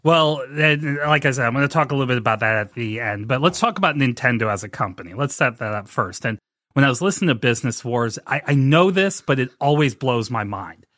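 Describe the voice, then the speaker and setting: A man's voice: medium pitch, animated, a little fast, fairly bright tone, no echo. Chatty man hosting a podcast, dry sound. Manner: chatty, storytelling, rambling with restarts. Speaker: podcast host. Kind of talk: casual podcast chat.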